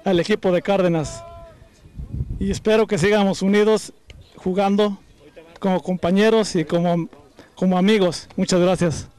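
Cheerful ballplayer, high mellow voice. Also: male voice, steady tone, normal speed, long pauses. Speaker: baseball player.

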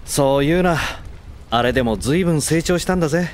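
A man's voice: on the high side, quick pace, a little hiss or hum, close to an everyday voice. An actor playing an easygoing, loyal young man with casual, frank speech in an anime audio drama. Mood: wry.

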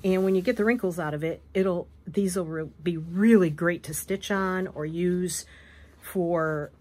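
A woman hosting a craft video, hoarse and wheezy from allergies, chatting casually as she shows fabric at a table. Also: fairly low pitch, fairly even intonation, measured pace, a little mellow. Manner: chatty, rambling, self-correcting. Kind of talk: casual show-and-tell chat. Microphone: camera above a tabletop, speaker off frame.

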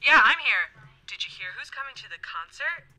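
A woman plays a girl chatting casually on a phone call in a short demo clip. Her voice is fairly high and very bright, with lively swings in pitch. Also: moderate pace, exaggerated voice. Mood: chatty.

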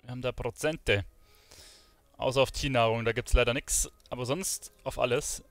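A man's voice: medium pitch, fairly even intonation, moderate pace, crowd noise. A man giving casual gameplay commentary as a streamer. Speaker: gaming streamer. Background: game music and sounds.

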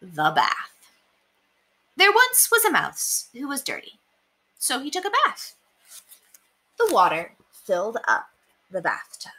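A young woman reading children's stories aloud as an expressive storyteller. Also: on the high side, takes it slowly, long pauses, a little hiss or hum. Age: young adult. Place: small indoor room.

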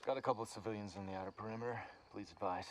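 Male actor as soldier, low pitch, subdued, clipped military report, video game, faint background noise. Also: steady tone, very fast, lightly acted. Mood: tense, hesitant. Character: tense soldier reporting to his commander by radio.

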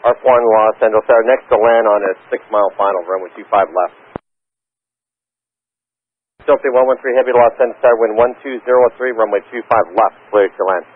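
A man gives tower clearances at a brisk clip in standard phraseology. His fairly deep voice comes over a tinny radio frequency with faint static. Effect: aviation radio. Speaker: tower air traffic controller. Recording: tinny, noisy air traffic radio.

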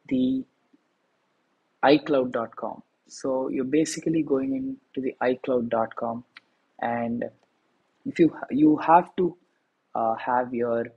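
A man walks through a software tutorial step by step, pausing on fillers as he goes. His voice is gravelly and a little husky. The tone sits dark and relaxed. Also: mid-range voice, rough recording. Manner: plain step-by-step explaining with frequent fillers. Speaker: tutorial host. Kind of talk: screen-recorded software tutorial.

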